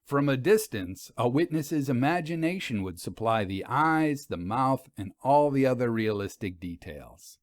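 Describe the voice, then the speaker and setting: Animated man narrating an audiobook. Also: mid-range voice, unhurried, few pauses, dry recording. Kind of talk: scripted story narration.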